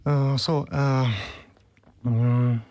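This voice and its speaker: A man from Afghanistan, a radio guest speaking in halting, hesitant sentences. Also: mid-range voice, little pitch movement, darkish tone. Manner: halting non-native speech full of hesitations. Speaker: interview guest.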